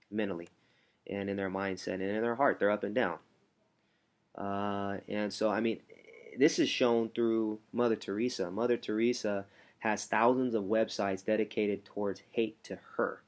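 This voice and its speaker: A man working as a motivational coach, talking from home in a hushed, rambling way because his baby is asleep nearby. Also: fairly low pitch. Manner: soft, hushed delivery to keep a sleeping baby quiet, rambling with restarts. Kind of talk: casual motivational talk to camera.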